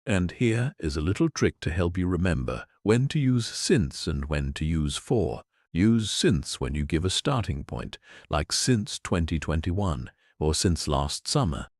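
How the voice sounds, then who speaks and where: A man giving a scripted English lesson, explaining clearly and patiently in an encouraging way. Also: low-pitched, sing-song, measured pace, hardly any pauses, no room sound, clean studio sound. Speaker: English teacher. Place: in Europe.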